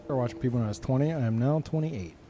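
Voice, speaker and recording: A man's voice: mid-range voice, rapid-fire, dark tone, a little hiss or hum. A man in his thirties casually chatting and swearing as he reads out chat messages while streaming a game.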